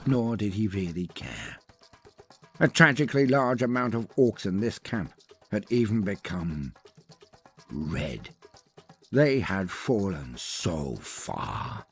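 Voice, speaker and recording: Husky guy doing grand wordy fantasy storytelling, really animated, bit of music behind. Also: fairly low pitch. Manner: pompous, wordy, dramatic pauses. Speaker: storyteller narrator. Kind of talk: theatrical scripted fantasy narration.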